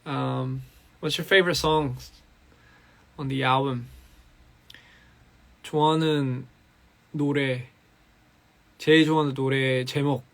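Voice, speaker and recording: A man with a slightly raspy, hoarse voice after a recording session, chatting casually with fans on a live stream, moving between Korean and English. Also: mid-range voice, steady tone, quick pace, long pauses. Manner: switches between Korean and English, laughs often, reads comments.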